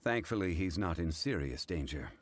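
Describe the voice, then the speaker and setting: An actor playing a calm, learned man in a video game, speaking in a measured, formal way. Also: deep voice, slight background noise. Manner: measured, formal, deliberate phrasing.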